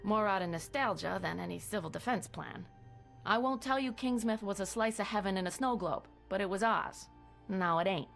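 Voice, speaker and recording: A woman's voice: medium pitch, moderate pace, creaky, soft background music, close to an everyday voice. A woman voicing a world-weary small-town American sheriff in a video game, with a folksy, dry, matter-of-fact delivery. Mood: grim, rueful. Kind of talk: dramatic cutscene monologue.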